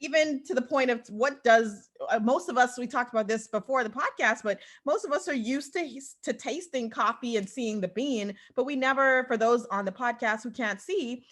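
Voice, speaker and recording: A woman from the Bahamas hosting a podcast interview, enthusiastic and quick to laugh, over a video call. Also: fairly high pitch, fairly bright tone. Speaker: podcast host. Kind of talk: casual interview chat.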